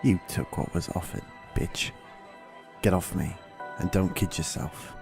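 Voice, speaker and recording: A deep, creaky-voiced military villain acted by a man for an audio drama. He is smug and cruel, talking with coarse, taunting contempt. Music plays clearly behind him. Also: fairly even intonation, a little slow. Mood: sneering contempt. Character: cruel, smug, sneering male army officer villain.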